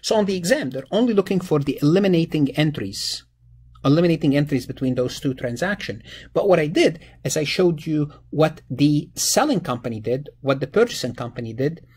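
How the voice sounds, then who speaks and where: Male lecturer, raspy and a little high in pitch. Explanatory walkthrough delivery, repeats key phrases, self-answered questions. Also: animated, brisk, darkish tone. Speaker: accounting lecturer. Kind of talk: step-by-step exam problem walkthrough, explanatory teaching. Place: indoors, voice-over on a screen-recorded slide lesson.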